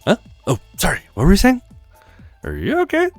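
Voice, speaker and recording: Guy doing a chatty smitten young girl in her twenties, game dialogue, swings up high. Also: wildly swooping pitch, a little fast, no echo, faint music behind, full character voice. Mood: smitten, distracted.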